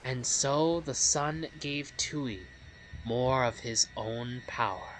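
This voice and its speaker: A woman narrating video game lore in a measured, storybook-like way. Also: extremely low pitch, poor audio. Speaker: let's play narrator. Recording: game music behind the voice.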